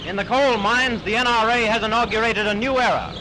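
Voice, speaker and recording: A man's voice: sits high, brisk, noisy background. A man narrating a 1930s newsreel in an emphatic, declamatory style, on a vintage soundtrack. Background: loud newsreel music.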